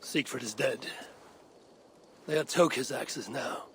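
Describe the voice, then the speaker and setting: An actor playing an honourable, steadfast Viking raider in a video game cutscene, measured and earnest. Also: male voice, mid-range voice, a bit bright, a little hiss or hum. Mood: firm.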